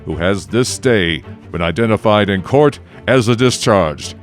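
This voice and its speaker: An actor playing a stern, authoritative presiding judge in a radio drama, with measured delivery. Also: male voice, fairly low pitch, expressive, soft background music. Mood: official.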